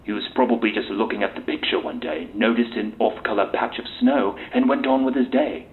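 Tinny interview recording, low male voice, bitter army officer, cynical, exasperated, foul-mouthed, audio drama. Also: expressive, fairly quick. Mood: weary, bitter. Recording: tinny lo-fi interview tape with hiss.

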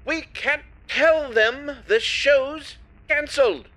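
An indignant, theatrical stage magician played by a man in an audio drama. His voice is very high and bright, and he speaks slowly, with wounded pride. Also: heavily acted. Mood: distraught. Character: exasperated, proud male stage magician.

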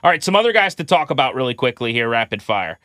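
Bright, forceful male sports analyst. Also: medium pitch, very animated, fast talker, no echo, studio quality. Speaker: sports podcast co-host.

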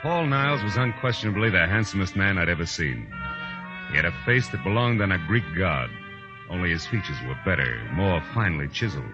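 An actor playing a tough, cynical private eye in a 1940s radio drama, with a wry, clipped hardboiled delivery. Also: male voice, deep voice, big pitch swings, a little fast. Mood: wry, musing. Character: tough, cynical male private eye narrating his case. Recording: muffled vintage radio recording with music.

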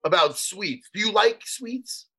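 A man with a high, husky voice co-hosts a podcast. He teases and jokes his way through casual chat, and his pitch swings up and down a lot. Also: expressive, a little slow, bright, forceful tone. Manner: joking, teasing banter. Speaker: podcast co-host.

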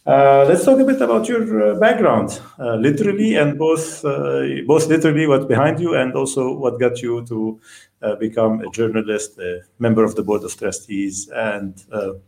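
A man's voice: medium pitch, moderate pace, creaky, a little mellow, reverberant. A man hosting a podcast, introducing the show and interviewing a guest. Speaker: podcast host.

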